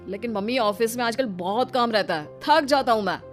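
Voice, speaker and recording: An actor voicing a young married office worker in an animated story, weary, put-upon and complaining. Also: mid-range voice, brisk, faint music behind, natural voice. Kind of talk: animated moral story dialogue.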